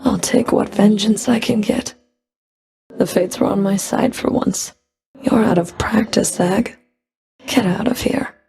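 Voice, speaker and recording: A woman playing a cold, scornful Fury of the underworld in a video game, taunting with deliberate, contemptuous menace and a breathy edge. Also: mid-range voice, dark tone. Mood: smug, cold. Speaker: video game villain voice actor. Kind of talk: video game combat voice lines.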